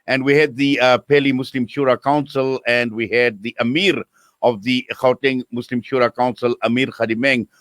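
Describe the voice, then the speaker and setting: A man hosting a studio radio interview. He speaks in a measured way with frequent filler pauses. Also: mid-range voice, level tone, a bit bright. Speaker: radio host. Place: broadcast studio. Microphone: studio microphone.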